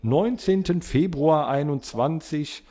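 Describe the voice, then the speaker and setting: A man's voice: fairly high pitch, takes it slowly, mellow. A man hosting a podcast, talking in a casual, conversational style. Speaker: podcast host. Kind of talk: casual chat.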